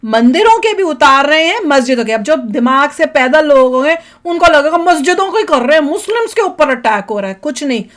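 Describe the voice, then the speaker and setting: Woman, high voice, Indian accent, chatty, opinionated, casual reaction host, repeats herself for emphasis. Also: lively intonation, brisk, few pauses, a bit bright.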